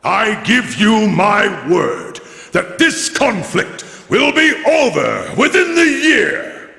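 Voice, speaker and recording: High, ringing, grandiose imperial war leader. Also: male voice, sing-song, takes it slowly, gravelly, pushed and bright, full character voice. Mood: triumphant rallying. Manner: solemn, grandiose, declamatory. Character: grave, commanding male imperial leader rallying a nation for war. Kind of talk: dramatic wartime oration in a video game. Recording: echoey large hall with long reverb.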